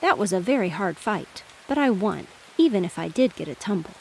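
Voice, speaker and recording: A woman's voice: medium pitch, sing-song, fairly quick, vocal fry, darkish tone, a little hiss or hum, poor audio. A woman voicing a vain, bragging red rooster in a storybook, with a pompous manner. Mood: puffed up. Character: boastful, vain red rooster strutting around barnyard.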